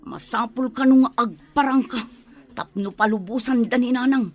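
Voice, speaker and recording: A woman's voice: fairly high pitch, moderate pace, lightly acted. An actress playing a worried elder sister fretting over her mother in a radio drama, with a Filipino accent. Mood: distressed, pleading.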